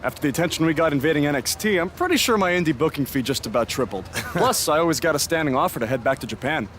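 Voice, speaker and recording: A man's voice: a little high, lively intonation, quick pace, fairly bright tone, a little hiss or hum. A man acting as a loyal, joking young indie wrestler buddy in a video game. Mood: supportive, fond. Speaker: wrestler, sidekick. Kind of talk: video game cutscene dialogue.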